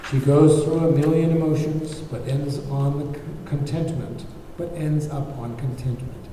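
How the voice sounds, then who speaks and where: A man's voice: a little high, steady tone, measured pace, dark tone, a little hiss or hum. A man reading screenplay stage directions as scripted narration, recorded from a distance in an echoey room. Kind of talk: scripted narration at a table read.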